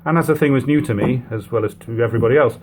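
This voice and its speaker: A man reading an old literary book aloud in a measured, phrase-by-phrase narration, on a lo-fi home recording. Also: medium pitch. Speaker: audiobook reader. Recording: lo-fi home recording, background hum, noise.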